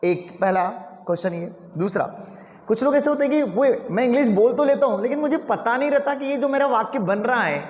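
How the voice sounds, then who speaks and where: Male teacher, high voice, rhetorical questions to students, roomy classroom echo. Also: lively intonation, brisk. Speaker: English teacher. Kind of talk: classroom lecture.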